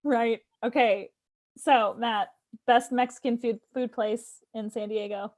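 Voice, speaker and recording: A woman's voice: fairly high pitch, steady tone, slow pace, darkish tone. An American woman, a choir conductor, chatting casually and informally, often laughing as she talks. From Fort Wayne, Indiana, United States. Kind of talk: casual conversation.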